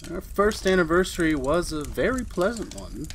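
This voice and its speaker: A man's voice: a little high, very animated, normal speed. Wry ageless husband played by a man, noisy recording. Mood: wry, reflective. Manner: wry, reflective storytelling. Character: ageless centuries-old man married to a witch, wry, uneasy. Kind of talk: retro 1940s radio drama narration and acting.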